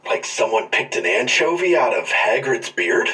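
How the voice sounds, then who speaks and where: An actor playing a melodramatic smell tester in a broad film comedy, savouring every exaggerated description. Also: male voice, mid-range voice, sing-song, normal speed, gravelly, sharp tone, distant mic, exaggerated voice. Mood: disgusted. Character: theatrical comic movie smell tester, melodramatically disgusted or enraptured.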